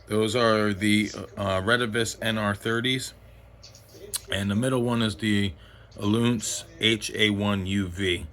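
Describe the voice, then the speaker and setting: A man giving a casual, unscripted gear review in a halting, rambling way, often backtracking mid-thought. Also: fairly low pitch, fairly even intonation, fairly bright tone. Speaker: host of a radio gear review channel.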